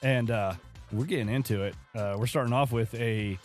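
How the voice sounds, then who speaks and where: Hoarse, laid-back podcast co-host man. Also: mid-range voice, normal speed, faint music behind. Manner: laid-back, rambling, laughs often. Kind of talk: casual chat over beers.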